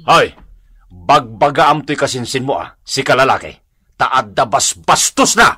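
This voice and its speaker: A man's voice: medium pitch, lively intonation, measured pace, bright, forceful tone, slightly rough, full character voice. A man with an Ilocano accent playing a hot-tempered, quarrelsome bully in a radio drama, scolding and exclaiming in bluster. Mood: accusing.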